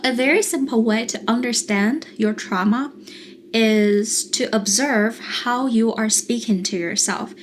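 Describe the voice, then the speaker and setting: A young adult woman with a Mandarin Chinese accent, a therapist talking calmly and earnestly to camera, explaining things in a coaching style. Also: medium pitch, slight background noise. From China. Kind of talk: calm coaching monologue to camera.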